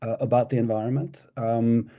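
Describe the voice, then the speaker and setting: A man's voice: medium pitch, flat delivery, moderate pace, warm tone. A middle-aged Swedish-born man giving an academic talk over a video call, hesitant, with many ums and restarts. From Sweden. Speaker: guest lecturer.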